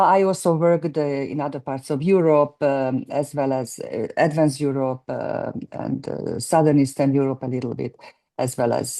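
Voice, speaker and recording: A woman in her sixties, a guest economist speaking in a halting way as she searches for words. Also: very deep voice, creaky, dark tone. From Hungary. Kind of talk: conversational interview.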